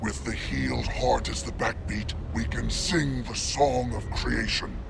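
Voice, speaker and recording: An actor playing an ancient, grave spider god of chaos in a fantasy game, with a gravelly, deliberate, grandiose delivery. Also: male voice, steady tone. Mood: urgent, commanding. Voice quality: deep, gravelly. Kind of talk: scripted fantasy game cutscene acting. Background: loud music.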